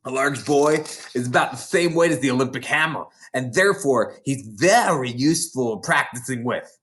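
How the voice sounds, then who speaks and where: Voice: mid-range voice, lively intonation, moderate pace, a bit bright, natural voice. A reader voicing a knowing, scornful schoolgirl who gossips in a conspiratorial way in a children's storybook. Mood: knowing, gossipy.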